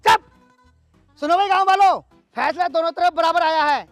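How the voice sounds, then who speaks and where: A man's voice: very high voice, moderate pace, fairly bright tone, dry recording, faint music behind. A man playing a bossy, exasperated village schoolmaster in a comedy sketch, scolding and commanding. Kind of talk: broad comedy sketch acting.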